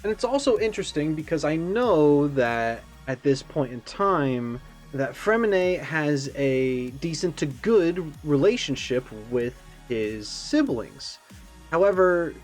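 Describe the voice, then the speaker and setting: A man giving casual, reflective reaction commentary, rambling as he thinks aloud with self-aware asides. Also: a little high. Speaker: reaction video creator. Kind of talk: casual, reflective commentary on a game character video.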